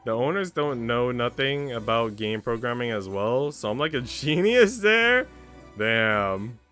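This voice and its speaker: A man's voice: medium pitch, lively intonation, unhurried, bright, forceful tone. Casual slangy man streaming over music. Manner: informal, slangy, swearing. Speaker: livestreamer. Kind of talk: casual chat with stream viewers while reading aloud. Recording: music playing behind the voice. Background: background music.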